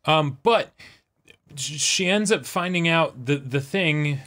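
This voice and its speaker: A man's voice: fairly high pitch, slow, bright, forceful tone. A man co-hosting a reaction show in casual, analytical discussion, restating points for emphasis and often checking in with a questioning tag. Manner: repeats and restates for emphasis, often adds "right?". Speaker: anime reaction show co-host.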